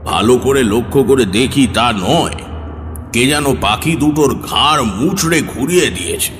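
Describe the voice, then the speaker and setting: A man playing a troubled, courteous middle-aged gentleman in an audio horror story, speaking hesitantly. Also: mid-range voice, expressive, a little slow, big-room sound. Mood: uneasy, puzzled. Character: troubled gentleman householder, father, polite and uneasy. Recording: music bed behind the voice.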